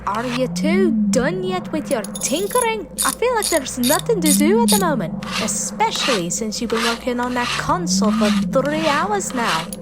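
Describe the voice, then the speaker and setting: A woman playing a curious, eager young travelling companion in a fan audio drama, inquisitive and expressive. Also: sits high, normal speed, hardly any pauses, heavy background noise. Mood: restless. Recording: voice over loud music and effects.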